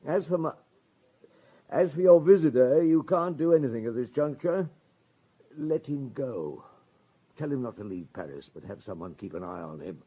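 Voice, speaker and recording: An actor playing a shrewd, authoritative police superintendent in a radio drama, blunt but genial, with a measured, probing manner. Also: male voice, medium pitch, expressive, mellow, husky, dull audio. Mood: brisk, commanding. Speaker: detective.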